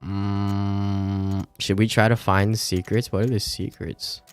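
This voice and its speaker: A man's voice: lowish voice, level tone, slow pace, clear, resonant tone, faint music behind. A man commentating casually to himself as he streams a visual novel and reads game text aloud.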